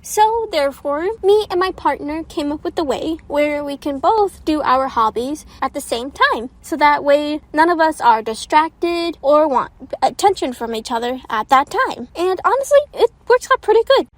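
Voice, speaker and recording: A woman's voice: high-pitched, lively intonation, normal speed. A young woman hosting her own channel, chatting earnestly and directly to viewers as she gives relationship advice. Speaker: channel host. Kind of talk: casual advice monologue.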